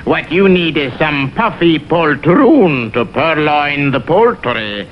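A man's voice: a little high, lively intonation, slow. A man voicing a smug, wordy animal advisor in a vintage TV cartoon, with a pompous, florid manner. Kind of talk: 1960s TV cartoon voice acting. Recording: dull vintage TV audio, faint noise.

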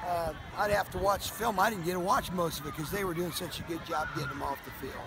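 Higher-voiced older coach, outdoors at night. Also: male voice, steady tone, creaky, very noisy. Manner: plain-spoken, halting with fillers. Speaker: high school football coach. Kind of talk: post-game interview. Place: football field at night, outdoors. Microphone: handheld camera close up.

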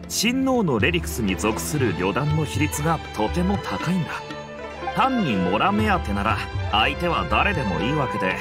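Shrewd young merc guy, explains stuff casually, expressive highish voice, game music under it. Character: level-headed young male desert mercenary, shrewd, loyal. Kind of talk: anime game voice acting. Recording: music behind the voice.

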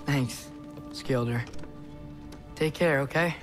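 Young man playing an earnest, slow-spoken teenage boy. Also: mid-range voice, natural voice. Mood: gentle, warm. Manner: halting, sincere. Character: earnest, gentle teenage boy, half-giant adventurer. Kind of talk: naturalistic video game cutscene acting. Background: music.

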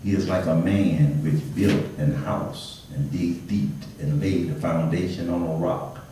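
Echoey, low-voiced preacher man. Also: steady tone, a little slow, mellow, a little hiss or hum. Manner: conversational preaching with repeated calls for response. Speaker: pastor, guest preacher. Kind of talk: church sermon. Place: indoor church, speaking from pulpit to congregation. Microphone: handheld microphone through PA, picked up by distant camera. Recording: echoey church hall, distant camera microphone, congregation responding.

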